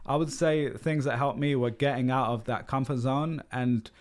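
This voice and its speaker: A man's voice: medium pitch, steady tone, moderate pace. Male guest, 30s, London accent, open and rambling, filler-heavy conversational delivery. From London, England. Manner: candid, rambling, frequent "like" and "um" fillers. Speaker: podcast guest. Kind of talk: casual interview conversation.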